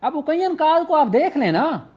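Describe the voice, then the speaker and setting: A man's voice: very high voice, very animated, slow pace, darkish tone, lo-fi sound. A man with a Pakistani Punjabi accent giving an informal, explanatory law lecture in a conversational, questioning manner. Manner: conversational, poses rhetorical questions, switches into English legal terms. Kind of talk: informal explanatory lecture with examples, reading out statute slowly.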